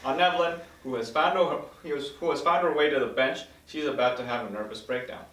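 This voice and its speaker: A man narrates scene headings and action from a screenplay at a table read. His voice is mid-pitched, caught from some distance in a roomy, echoing space. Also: fast talker, a bit bright. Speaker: stage directions reader. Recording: roomy echo, distant microphone.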